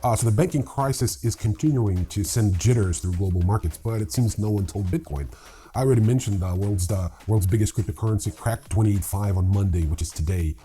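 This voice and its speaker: A man's voice: fairly low pitch, mellow. A man hosting a news show, delivering casual scripted commentary with wry, sarcastic asides. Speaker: crypto news show host.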